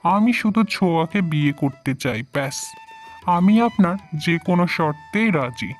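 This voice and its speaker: A man's voice: a little high, animated, moderate pace, natural voice. Man playing an earnest young cartoon groom, music behind. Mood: eager, reassuring. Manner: earnest, reassuring. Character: wealthy young groom, devoted and reassuring husband. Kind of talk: Bengali moral-tale cartoon voice acting.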